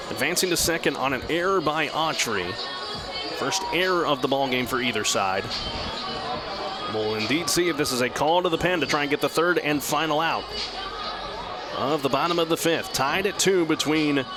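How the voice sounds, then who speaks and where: A man doing live radio baseball play-by-play in measured, descriptive, clipped phrases. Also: a little high, music in the background, crowd noise. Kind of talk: live radio sports commentary. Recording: clear steady outdoor ballpark noise.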